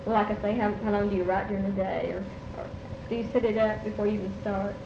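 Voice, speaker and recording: A woman's voice: mid-range voice, fairly even intonation, fast talker, gravelly. A woman asking informal interview questions in a casual, rambling way. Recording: muffled, noisy, echoey old tape.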